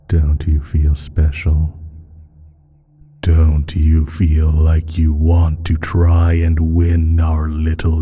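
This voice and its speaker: Extremely deep, slow ancient evil creature. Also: male voice, mellow, exaggerated voice. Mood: smug, taunting. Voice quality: very deep, husky, breathy, half-whispered. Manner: slow, drawn-out, teasing, savoring pauses. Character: ancient evil creature, male, sly, taunting, playfully menacing. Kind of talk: scripted audio roleplay monologue.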